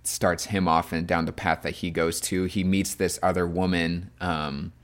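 A man's voice: low voice, level tone, measured pace. A man hosting a film podcast, talking casually with loose, informal phrasing. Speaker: podcast host.

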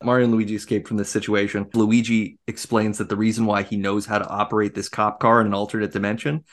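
A man's voice: on the low side, little pitch movement, fast talker. Man chatting casually about film. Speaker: podcast co-host. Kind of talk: casual film discussion chat.

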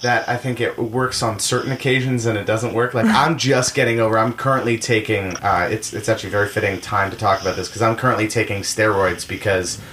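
A man co-hosting a podcast, chatting casually in a halting, rambling way, with many restarts as he gropes for his words. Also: on the low side, steady tone, a bit bright, slight background noise. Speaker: co-host. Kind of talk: casual chat.

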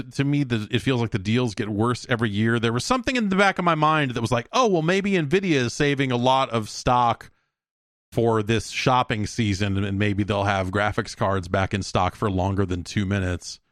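A man in his late forties talks by himself as a podcast host. His mid-pitched voice swings freely up and down as he rambles through comic, self-deprecating asides peppered with swearing. The sound is close and dry. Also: fairly bright tone, no echo. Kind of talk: casual solo podcast monologue, rambling. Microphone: close podcast microphone.

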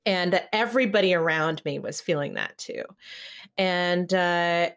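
A woman with a somewhat low voice talks as a podcast host in casual conversation. She is open and effusive, and her storytelling comes in halting, conversational bursts. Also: sing-song. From California.

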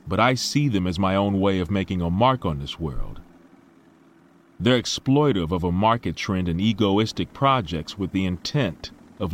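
An actor playing a self-assured, idealistic tech billionaire in an audio drama, with smooth, reflective and formally phrased speech. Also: male voice, deep voice.

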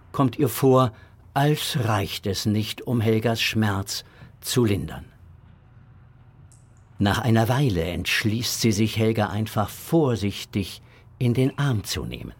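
A man's voice: lowish voice, husky. A man narrating a children's audio drama in a scripted storytelling style. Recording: music bed behind narration.

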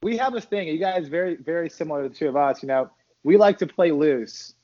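A man being interviewed as a podcast guest, chatting casually in a loose, bantering, halting way. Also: a little high, warm tone, lo-fi sound, a little hiss or hum.

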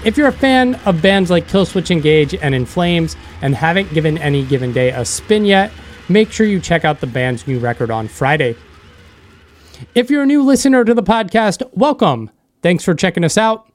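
A man with a slightly high voice works as a podcast host. He talks casually and directly, in the style of an interview show. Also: big pitch swings, normal speed. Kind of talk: podcast interview with scripted-sounding intro.

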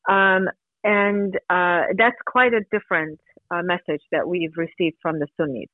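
A woman's voice: fairly low pitch, steady tone, moderate pace, no echo. Over a narrow, dry telephone line, a woman from Iran gives an earnest interview. She speaks with emphasis, sometimes posing questions and answering them herself. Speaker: interview guest, human rights advocate. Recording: thin telephone-like radio line.

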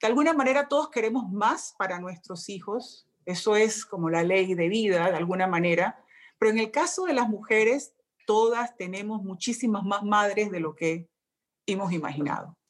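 A woman speaking on a panel, measured and heartfelt. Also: mid-range voice, expressive. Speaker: panelist, former minister. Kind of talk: panel discussion remarks.

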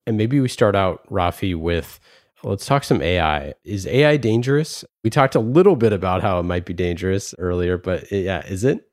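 Very deep-voiced male moderator. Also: moderate pace, a little mellow, dry recording. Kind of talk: moderating a panel. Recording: dry close-mic sound.

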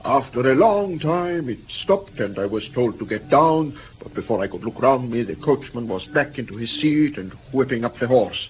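An actor playing an anxious interpreter who recounts an ordeal in earnest, precise, formal phrases in a radio drama. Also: male voice, mid-range voice, animated, moderate pace, hardly any pauses, natural voice. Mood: earnest recounting. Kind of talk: radio drama acting, animated storytelling. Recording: tinny old radio recording, background hiss.

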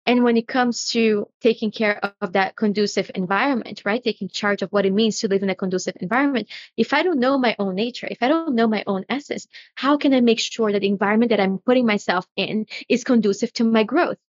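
A woman from Brazil speaks in an earnest, reflective way, often pausing on fillers and restarting her thoughts. The recording is dull and lo-fi, with a close, dry sound and no room around her. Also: on the high side, dry recording. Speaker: guest, retreat facilitator. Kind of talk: reflective conversational interview.

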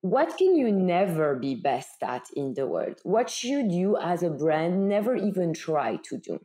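A woman's voice: on the low side, big pitch swings, measured pace, warm tone. A woman from Belgium with a Belgian accent, answering interview questions as a brand founder in a conversational, explanatory way, often posing rhetorical questions.

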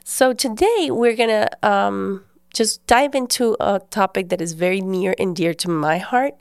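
A woman's voice: medium pitch, measured pace, smooth, dry recording, studio quality. A woman, hosting a podcast and telling a personal faith story in an earnest, conversational way. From Guatemala. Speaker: podcast host.

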